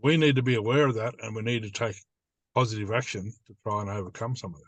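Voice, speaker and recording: A man's voice: lowish voice, fast talker, no room sound. An older man from New South Wales with an Australian accent, speaking as an elder and teacher in plain, repetitive, simply built phrases. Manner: plain-spoken, repeats phrases in simple lists. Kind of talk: informal spoken teaching.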